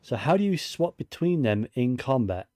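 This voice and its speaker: A man's voice: medium pitch, big pitch swings, normal speed, dark tone, no echo. A man giving casual video game commentary, musing and thinking aloud to himself as he plays.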